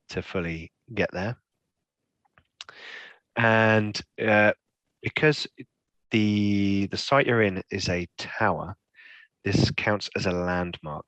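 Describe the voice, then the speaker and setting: A man running a tabletop game as game master, encouraging and clearing his throat often. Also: sits low, a bit bright, no room sound. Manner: affirming, frequent throat clearing.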